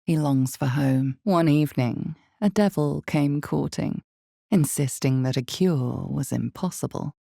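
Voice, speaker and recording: A woman voicing an ominous, all-knowing narrator of a dark fantasy video game, speaking in slow, deliberate, dramatic storytelling with a creaky low voice. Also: no room sound, clean studio sound, exaggerated voice. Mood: ominous, measured. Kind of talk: scripted second-person dark fantasy narration.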